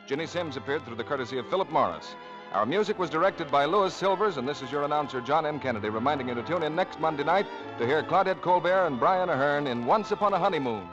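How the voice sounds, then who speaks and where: An American man reads as a 1940s radio announcer. He talks fast in a raised pitch. Music plays clearly behind him on the old broadcast. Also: muffled recording.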